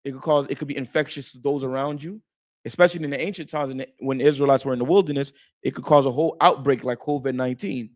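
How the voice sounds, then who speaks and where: A man co-hosting a Bible study talk, explaining in a casual, animated way and stumbling over words at times. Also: medium pitch, fast talker. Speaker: co-host teaching scripture.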